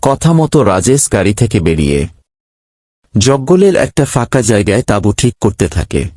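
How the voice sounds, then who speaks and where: Narrator for animated horror tale: man, very expressive read, dry close recording. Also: on the low side, moderate pace, no room sound. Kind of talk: scripted ghost story narration.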